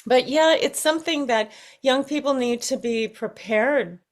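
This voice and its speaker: American woman, middle-aged, interview guest. Higher voice, unhurried delivery, thoughtful and searching. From United States. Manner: thoughtful, halting, searching for words. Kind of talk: reflective interview conversation.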